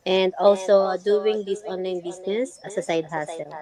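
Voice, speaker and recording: Soft-spoken woman, mid voice, crowd chatter around. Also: medium pitch, fairly even intonation, moderate pace, rough recording. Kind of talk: casual self-introduction.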